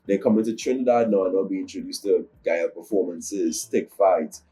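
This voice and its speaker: A young man from Nigeria, a first-language Yoruba speaker, explaining in a measured and earnest way as a guest musician in an interview. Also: low-pitched, fairly even intonation, warm tone. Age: young adult. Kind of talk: interview answers.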